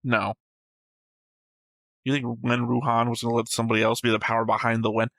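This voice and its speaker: Bright-voiced man in matter-of-fact discussion. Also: mid-range voice, flat delivery, brisk, no echo, studio quality. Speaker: reaction show host. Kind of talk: casual discussion of an animated series.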